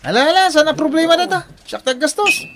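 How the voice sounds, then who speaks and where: A very high, boyish voice of a spoiled rich schoolboy in a radio drama. He is lazy and cocky, and he talks in a boastful, taunting way. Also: lively intonation, fast talker, a crowd in the background.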